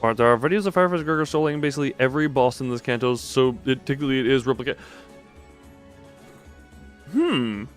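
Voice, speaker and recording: A man's voice: mid-range voice, fast talker, pauses often, faint music behind. A man live-streaming a game, reading its dialogue aloud and stumbling now and then as he adds his own commentary. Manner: stumbles and self-corrects while reading aloud.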